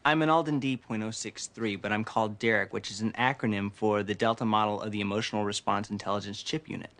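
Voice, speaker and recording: A man's voice: fairly low pitch, steady tone, quick pace, a bit bright. An actor playing a polite, curious male android who speaks in formal, precise sentences. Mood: calm, curious. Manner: formal, precise, inquisitive phrasing. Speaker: actor in a series clip.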